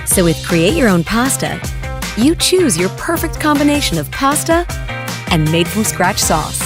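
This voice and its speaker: A woman doing a scripted restaurant advertisement as a commercial voice-over. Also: medium pitch. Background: music.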